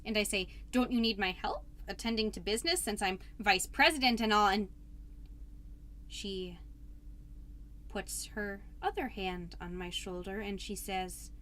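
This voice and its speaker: A woman's voice: mid-range voice, a little slow, fairly bright tone, a little hiss or hum, close to an everyday voice. A woman playing an earnest, anxious suburban mom podcaster in a rambling, self-doubting comedic monologue that tends toward grand declarations. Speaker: podcast host character.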